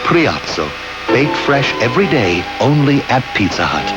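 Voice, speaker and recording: A man's voice: mid-range voice, big pitch swings, a little slow. A man reading a scripted radio commercial as an announcer. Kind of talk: scripted restaurant radio ad narration. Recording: dull old radio ad, loud music.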